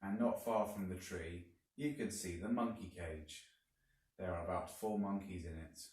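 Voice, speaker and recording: A man reading a children's story aloud like a teacher, deliberately and with dramatic emphasis. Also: deep voice, fairly even intonation, darkish tone. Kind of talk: expressive storybook narration. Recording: very echoey room, distant mic.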